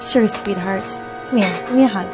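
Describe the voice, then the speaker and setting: A woman's voice: mid-range voice, moderate pace, dull audio, over music, lightly acted. A woman playing a tender, worried wife in an audio drama, soothing and affectionate.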